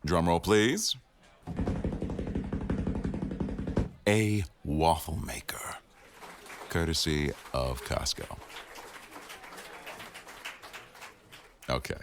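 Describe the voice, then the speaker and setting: Man playing a very deep-voiced, wry office boss. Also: lively intonation, takes it slowly, noisy background, exaggerated voice. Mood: dry, formal. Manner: wry, formal, dryly teasing. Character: stern, teasing male office boss, protective of employee. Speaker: audio roleplay performer. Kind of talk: boyfriend ASMR roleplay acting.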